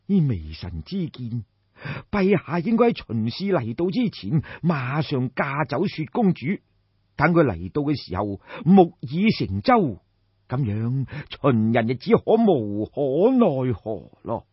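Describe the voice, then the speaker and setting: A man voicing a grave, shrewd old royal minister in an audiobook, giving formal, deferential counsel in measured, deliberate phrases. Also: medium pitch, very animated, breathy edge, no room sound, muffled recording, heavily acted. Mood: earnest, cautioning.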